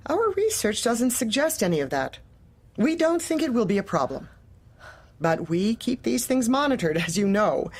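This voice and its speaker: A woman's voice: on the high side, big pitch swings, moderate pace. A woman playing a manipulative, officious corporate manager in a darkly comic audio drama, speaking with bland politeness and veiled menace. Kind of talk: audio drama acting, dark comedy.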